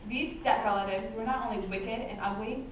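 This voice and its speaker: A woman's voice: fairly high pitch, brisk. A young adult woman narrating a fairy tale as a student in readers' theatre. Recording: echoey hall, distant mic, muffled, noisy.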